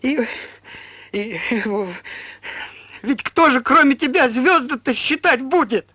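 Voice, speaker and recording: A woman playing a fussy, caring young male bear cub in an animated film, husky and rambling, stumbling over words. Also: high voice, slow pace, no room sound, full character voice. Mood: fretful rambling. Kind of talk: Soviet animated film character acting.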